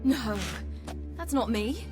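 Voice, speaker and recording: An actress playing a spirited, forthright young woman adventurer in a video game, speaking in a direct, expressive way. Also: high-pitched, slow pace, over music. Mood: curious, teasing. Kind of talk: video game dialogue acting.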